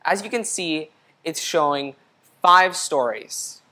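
A young man reads a picture book aloud for children. He speaks in an instructive storytelling way, pausing to address the young listener directly. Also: a little high, expressive, bright, forceful tone. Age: young adult. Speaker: storybook reader. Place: indoor studio room. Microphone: lapel mic.

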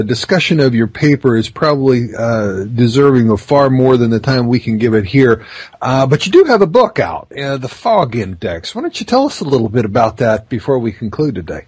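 A male podcast host asks curious questions in a mid-pitched, slightly husky voice. The recording is dry and close. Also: darkish tone, no room sound. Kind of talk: interview questions.

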